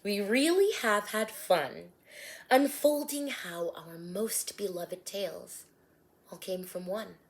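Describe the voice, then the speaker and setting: A woman reading her own rhyming storybook aloud in a gentle, soft-spoken way, some words dropping to a hush. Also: mid-range voice, takes it slowly.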